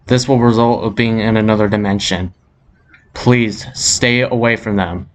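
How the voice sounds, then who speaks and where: A man's voice: on the low side, steady tone, unhurried, close to an everyday voice. A man playing a news anchor, reading a scripted amateur disaster bulletin in a formal way. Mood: serious. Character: male breaking news anchor reporting a disaster. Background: music.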